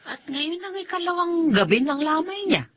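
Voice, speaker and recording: A woman's voice: extremely high pitch, sing-song, normal speed, a bit bright, heavily acted. A Filipino woman playing an elderly, caring mother and grandmother in a radio-style drama. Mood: somber, pitying. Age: older. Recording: dull lo-fi audio with music behind.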